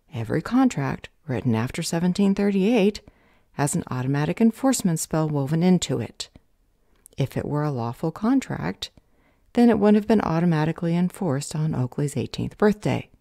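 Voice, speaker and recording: Lady playing a unhelpful gov office clerk in an audio roleplay, dark relaxed voice, officious and talks down to you. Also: deep voice, moderate pace, gravelly, dry recording, clean studio sound, exaggerated voice. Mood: lecturing, condescending. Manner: bureaucratic, unhelpful, condescending. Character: jaded, officious female government customer service clerk. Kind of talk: audio roleplay drama acting.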